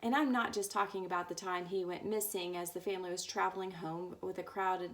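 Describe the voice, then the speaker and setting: A woman's voice: lowish voice, steady tone, brisk. A woman reading a book aloud as a storyteller, now and then stumbling over words. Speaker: story reader.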